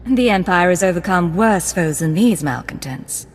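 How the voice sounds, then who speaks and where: Woman as a low-voiced, composed secret agent. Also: expressive, moderate pace, faint music behind, natural voice. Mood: composed, questioning. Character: defiant, cool-headed female Imperial secret agent. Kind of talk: video game dialogue.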